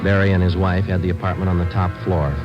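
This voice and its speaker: An actor playing a terse, dedicated police detective sergeant, clipped and matter-of-fact, narrating in 1940s radio drama style. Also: male voice, low-pitched, flat delivery, fast, lightly acted. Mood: flat, factual. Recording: dull old radio recording with music.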